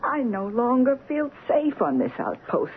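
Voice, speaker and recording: An anxious, principled wife in an old radio play. Her pleading moves with very lively intonation and simple formal diction. The vintage broadcast is thin and plainly noisy. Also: fairly high pitch, measured pace, narrow, tinny audio. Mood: worried, insistent. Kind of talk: old-time radio drama acting.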